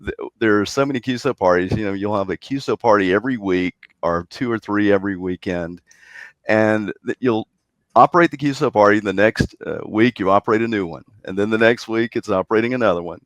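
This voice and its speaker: Low-pitched man as a podcast guest. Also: a little fast, no echo. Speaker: interview guest, contest program director. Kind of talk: conversational explanation.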